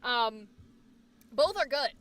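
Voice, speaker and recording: A woman's voice: on the high side, expressive, takes it slowly, far from the mic, heavy background noise. A woman hosting a casual livestream chat, talking in a rambling, emphatic, opinionated way, with a slight Boston accent. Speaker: livestream host.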